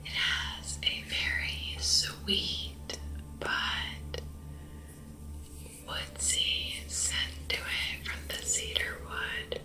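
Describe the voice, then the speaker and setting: A woman's voice: drawn-out words, poor audio. A young adult woman whispering soothingly and repetitively into a close microphone as an ASMR host playing a spa attendant. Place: small indoor room at home. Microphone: close sensitive condenser mic, earphones worn.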